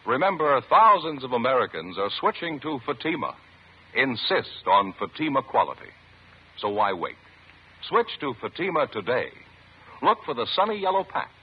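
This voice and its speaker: A very animated, husky male announcer delivers an emphatic sales pitch in 1950s radio ad style. His pitch swings widely, and the old recording is muffled. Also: normal speed. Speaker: commercial announcer.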